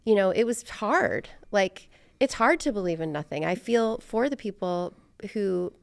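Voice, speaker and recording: A middle-aged American woman with a fry-edged but clean, smooth voice, recorded dry and close. She talks casually and reflectively as a podcast host, wandering through her thoughts and putting herself down a little. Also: medium pitch, animated, measured pace, dry recording. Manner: rambling, self-deprecating.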